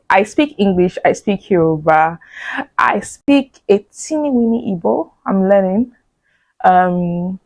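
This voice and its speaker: Woman vlogger chatting, laughs a lot, thinks out loud, dry close mic. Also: medium pitch, no room sound. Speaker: vlogger answering audience questions. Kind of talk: casual chat.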